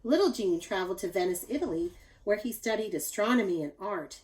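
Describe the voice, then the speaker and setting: A woman reads a picture book aloud to children in a mid-pitched voice. Her delivery is expressive and teacherly, and she speaks directly to her young listeners. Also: brisk. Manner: animated, addresses young listeners with asides.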